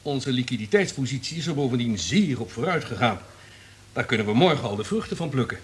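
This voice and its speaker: An actor in a Dutch radio play playing a smug, calculating, cynical radio network executive. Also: male voice, medium pitch, expressive, brisk, close to an everyday voice. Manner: self-satisfied, calculating.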